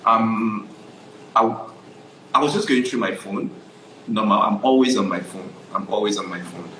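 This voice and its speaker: A man's voice: on the low side, normal speed, slight background noise. Nigerian man telling his story, echoey. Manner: animated storytelling, often asks "do you understand?". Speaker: guest photographer. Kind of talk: casual interview.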